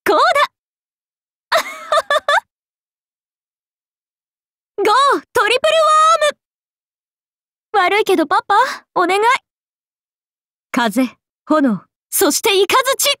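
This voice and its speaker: A woman's voice: very high voice, huge pitch swings, normal speed, pauses often, pushed and bright, no room sound, studio quality, heavily acted. A woman voicing a playful, teasing, carefree oni goddess in an anime game, with a casual, airy drawl. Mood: spirited attack. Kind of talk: Japanese anime game voice acting.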